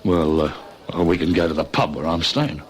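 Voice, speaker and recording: An actor playing a wary, practical Australian accomplice in an old radio crime drama, blunt and colloquial. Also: male voice, deep voice, brisk, close to an everyday voice. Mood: matey.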